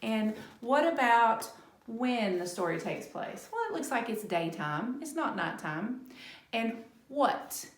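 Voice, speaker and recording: A woman's voice: on the high side, sing-song, slow pace. A woman talking children through a story like a lesson, with many rhetorical and tag questions. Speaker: speech-language pathologist reading to children. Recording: echoey room.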